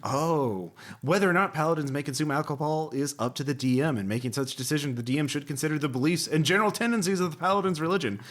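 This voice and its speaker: A man's voice: a little high, quick pace, hardly any pauses. A man hosting a podcast, chatting casually and reading passages aloud with a wry, joking manner. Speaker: podcast host.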